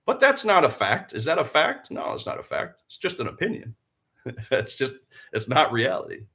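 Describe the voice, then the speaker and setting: A man's voice: mid-range voice, huge pitch swings, moderate pace, sharp tone. A man in his forties hosting a live trading stream. He talks in an earnest, fired-up way, repeats phrases for emphasis and asks the viewers rhetorical questions. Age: 40s. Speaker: livestream trading host. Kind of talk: live-stream motivational chat and trading commentary.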